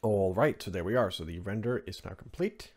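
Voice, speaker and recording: Male tutorial host from Norway, non-native speaker, lowish voice, informal and rambling screen-recording narration. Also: expressive, fairly quick, a little mellow, slightly rough. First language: Norwegian. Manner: rambling, offhand, informal asides. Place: indoors at a computer, screen recording voice-over.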